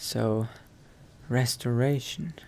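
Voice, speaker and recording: A man's voice: medium pitch, slow pace, gravelly, a little hiss or hum. A man playing a cautious vampire adventurer in an improvised game roleplay, musing hesitantly to himself. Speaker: roleplay let's-play player. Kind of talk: in-character improvised roleplay monologue.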